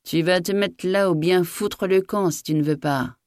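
A woman voicing an old male farm master in a storybook reading, with blunt, bossy, rustic speech and a quick temper. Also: on the low side, a little mellow, no echo, clean studio sound, lightly acted. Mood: furious. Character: old, jovial, hot-tempered male farm master who bellows orders.

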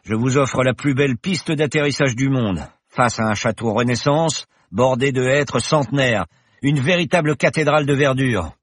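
A man's voice: medium pitch, moderate pace, no echo, clean studio sound, voice pushed up, lightly acted. A man voicing a boastful, eccentric older male professor who flies a plane, talking in a jovial, showy way. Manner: jovial, showy, lofty speech.

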